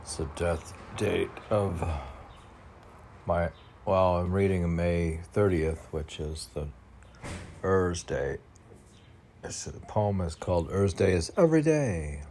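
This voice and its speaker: Slow-paced, low-voiced man reciting poetry. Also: animated, darkish tone, slight background noise. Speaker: poet reading own work. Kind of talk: recited spiritual poetry reading.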